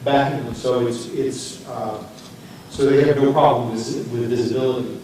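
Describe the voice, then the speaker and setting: A man speaking off the cuff in a panel discussion, haltingly, often searching for his words. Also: medium pitch, fairly even intonation, warm tone. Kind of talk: panel discussion, unscripted talk. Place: echoey room, recorded at a distance. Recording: very echoey room, distant mic, faint noise.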